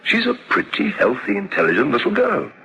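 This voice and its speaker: An easygoing, chuckling husband with a gravelly, lively voice, radio drama. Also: male voice, a little high, brisk, lo-fi sound, slight background noise, heavily acted. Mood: reassuring. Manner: relaxed, reassuring, chuckles. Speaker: husband and father.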